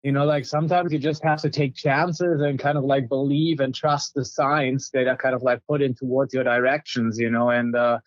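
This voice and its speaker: A man with a German accent chats through his story as a guest on an interview podcast, laughing between remarks and leaning on "like" and "um". His voice sits at an average pitch. The sound is dry and a little dull, as if from a lo-fi recording. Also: flat delivery, normal speed, few pauses, no echo. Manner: chatty, laughs while telling stories, many fillers. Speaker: guest, company founder.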